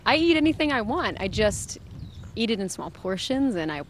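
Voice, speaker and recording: A woman's voice: a little high, very animated, normal speed, a little hiss or hum. A woman giving casual, unscripted answers in a street interview.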